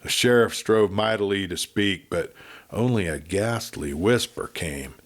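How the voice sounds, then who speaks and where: A man narrating a Western audiobook with expressive, dramatic storytelling. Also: lowish voice, a little slow.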